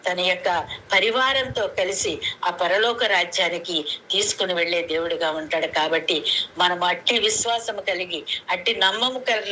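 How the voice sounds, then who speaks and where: Noisy conference call, woman w preaching, sharp forceful voice, repeats phrases to drive it home. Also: fairly low pitch. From Andhra Pradesh, India. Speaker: lay preacher. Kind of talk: sermon, retelling scripture to a prayer meeting. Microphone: conference call phone.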